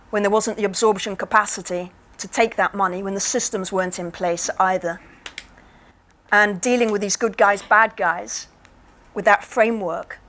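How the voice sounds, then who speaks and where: A woman at a public talk answers questions from the floor at a middling pitch. She explains in plain, reflective terms and takes her time. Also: a bit bright. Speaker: invited speaker answering audience questions. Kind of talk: measured Q&A answers.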